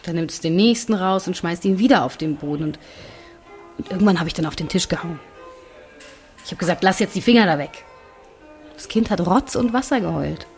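Low-voiced German woman doctor abroad. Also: animated, a little fast, pauses often, darkish tone, soft background music. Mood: exasperated. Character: earnest German woman doctor living abroad, lonely, exasperated. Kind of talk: documentary-style personal monologue.

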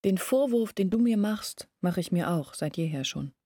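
An actress in a German radio drama playing an earnest, anguished young woman who confides her family's hardship in a deliberate, self-reproachful way. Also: mid-range voice, expressive, warm tone, husky, dry recording, clean studio sound.

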